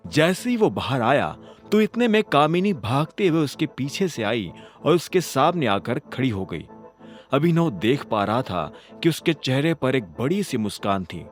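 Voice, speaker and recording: A man's voice: medium pitch, sing-song, measured pace, faint music behind. A man narrating an audio drama in a dramatic, scripted storytelling style.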